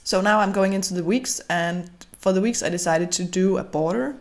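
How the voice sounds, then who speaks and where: A woman's voice: mid-range voice, a little fast. A young woman whose first language is Danish, narrating a journaling video in casual, hesitant English with frequent fillers. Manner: hesitant, with many fillers and restarts. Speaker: bullet journal vlogger.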